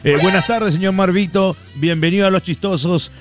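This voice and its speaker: A man's voice: high voice, normal speed, music in the background. A man with a Peruvian accent hosting a comedy radio show with joking banter.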